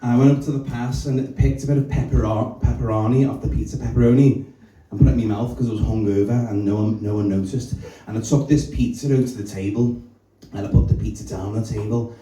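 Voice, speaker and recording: A man's voice: medium pitch, fairly even intonation, fast talker, hardly any pauses. Brash young man doing stand-up. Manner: brash, self-mocking, loose and chatty. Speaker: stand-up comedian. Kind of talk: stand-up set with crowd work. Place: small comedy club bar. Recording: echoey room, distant mic, loud crowd laughter.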